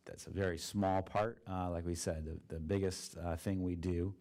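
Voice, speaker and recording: A man, a school district treasurer, presenting a budget at a board meeting in a monotone with many fillers and restarts, with an Ohio accent. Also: deep voice, normal speed, dry recording. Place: meeting room.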